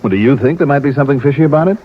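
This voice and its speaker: An actor playing a calm, shrewd American insurance investigator, asking measured, probing questions in old-time radio drama style. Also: male voice, medium pitch, slightly rough, natural voice. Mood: curious. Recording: dull vintage radio recording.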